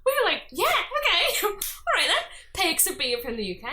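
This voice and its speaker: A woman in her early twenties with a Scouse accent, telling anecdotes in casual, animated podcast chat. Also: very high voice, fairly quick, a crowd in the background. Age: early 20s. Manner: animated storyteller who acts out dialogue. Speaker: podcast guest.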